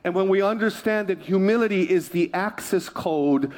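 Male preacher from Hawaii, slightly high voice, emphatic and repetitive sermon delivery. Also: normal speed, darkish tone. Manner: emphatic repetition, calls for congregational response. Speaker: pastor.

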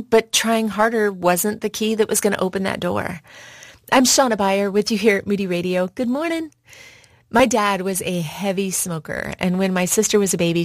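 A woman's voice: medium pitch, no room sound, clean studio sound. A woman radio host telling a short personal story as a scripted devotional spot.

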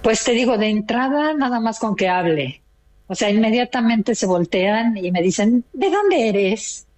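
A woman's voice: a little high, lively intonation, measured pace, darkish tone. A retired older woman from Mexico with a Mexican accent, chatting proudly as a caller on a radio talk show. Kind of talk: casual chat.

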